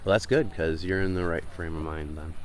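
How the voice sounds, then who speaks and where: A man's voice: low voice, fairly quick, a little hiss or hum. A man with a Michigan accent chatting on a beach livestream, reading viewer comments and laughing often. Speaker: livestream host. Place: outdoors wading at a beach. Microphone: phone.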